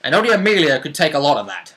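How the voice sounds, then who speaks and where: Bright sounding guy, rpg player just chatting, agrees a lot and chimes in with short bits. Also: fairly high pitch, sing-song, racing. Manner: agreeable, chiming in with short confirmations. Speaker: player in a tabletop roleplaying group. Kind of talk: casual chat recapping a tabletop roleplaying game.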